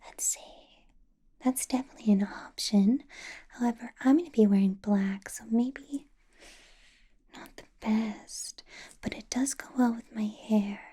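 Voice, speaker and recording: Woman for a possessive vampire boss, ASMR: slow, husky whisper, teasing control. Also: a little high. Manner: soft whispering, playful and controlling. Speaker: ASMR artist. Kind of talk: ASMR roleplay.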